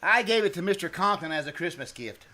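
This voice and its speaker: A man's voice: high-pitched, lively intonation, fast, bright, forceful tone, heavily acted. A man playing a timid, earnest schoolteacher in an old-time radio comedy, speaking politely and hesitantly with stammers. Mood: sheepish. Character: timid, earnest, deferential male schoolteacher, easily rattled. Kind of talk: old-time radio comedy acting before a live audience. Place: small-town theater stage. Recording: live stage recording with noise.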